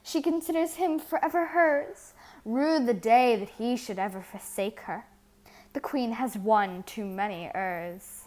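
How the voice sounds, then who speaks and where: A woman's voice: on the high side, a little slow, a little mellow. A woman reading scripted dramatic narration in verse, as the storyteller of a play. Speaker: reader and narrator.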